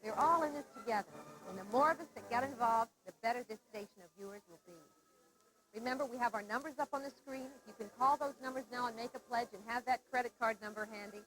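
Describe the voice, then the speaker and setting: A woman making an earnest, persuasive fundraising appeal for a public TV station, reading out phone numbers slowly and clearly. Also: fairly high pitch, a bit bright, poor audio. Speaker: pledge drive presenter.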